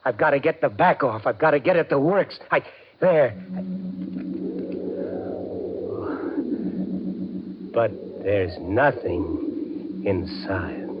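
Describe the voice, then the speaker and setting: Actor as a desperate gambler, wildly swinging voice. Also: male voice, mid-range voice, animated, lightly acted. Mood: despairing. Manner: agitated, halting, emotionally intense. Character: desperate, impulsive gambler, an everyman fiancé. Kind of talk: 1950s radio suspense acting. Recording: muffled, hissy 1950s radio recording.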